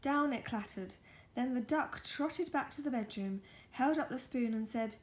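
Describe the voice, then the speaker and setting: A woman reading a picture book aloud in a soft ASMR style, gentle and coaxing, slipping into breathy whispers. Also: a little high, moderate pace.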